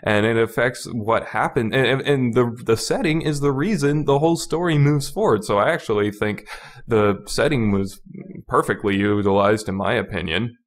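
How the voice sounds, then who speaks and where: A man's voice: mid-range voice, normal speed. A man hosting a review podcast in a casual, opinionated style, rambling emphatically and repeating his words as he argues his points. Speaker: podcast host reviewing a visual novel.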